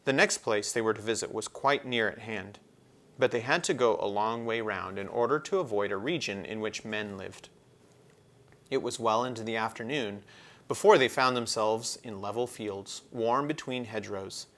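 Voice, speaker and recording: A man's voice: on the low side, moderate pace, a bit bright. A man narrating a children's storybook as a read-aloud. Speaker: storybook reader.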